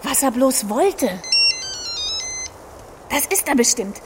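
A woman playing an adventurous, chatty hot-air balloon reporter in a children's radio play, speaking with lively expression. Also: high-pitched, slow pace, over loud music. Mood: fidgety, excited. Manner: lively, expressive storytelling to young listeners.

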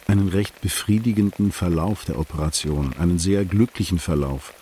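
An actor playing the sober narrator of a German literary radio play, recounting events in a measured, restrained, precise way. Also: male voice, low-pitched, warm tone, slight background noise, close to an everyday voice. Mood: grave, measured. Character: sober male friend recounting a sad night in retrospect.

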